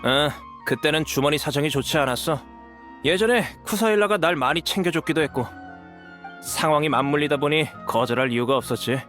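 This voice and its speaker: A man's voice: fairly high pitch, brisk, a bit bright, faint music behind, natural voice. A man voicing a sly, cornered smuggler official in a video game, wheedling and evasive. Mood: wheedling, nervous. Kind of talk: dubbed video game acting.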